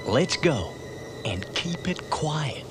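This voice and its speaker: A man playing a brisk, short-tempered commanding officer in a children's sci-fi puppet series, on an old TV soundtrack. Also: a little high, big pitch swings, over music. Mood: stern, exasperated.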